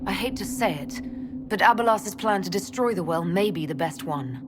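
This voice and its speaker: A woman voice actor playing a resolute, wary leader in a fantasy video game, speaking earnestly and deliberately. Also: medium pitch, expressive, heavy background noise, close to an everyday voice. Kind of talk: video game dramatic voice acting.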